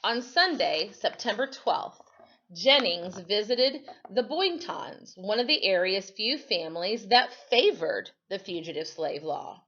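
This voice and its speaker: A woman reading a history story aloud as a storyteller, in an expressive, teacherly way. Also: medium pitch, measured pace, dull audio.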